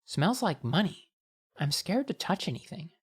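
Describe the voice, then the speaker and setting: A man voicing a feisty, excitable young girl with a wry, blunt, eager manner in an audiobook. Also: sits high, moderate pace, breathy edge, voice pushed up, exaggerated voice.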